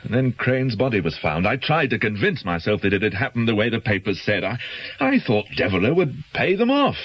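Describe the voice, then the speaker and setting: A guilt-ridden doctor in a radio play, with a low, husky voice. He is educated but halting and defensive, and his nervous delivery rises and falls in lively swings. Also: exaggerated voice, rough recording. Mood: anxious, defensive. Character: anxious, guilt-ridden male doctor entangled with criminals. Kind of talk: naturalistic radio drama acting.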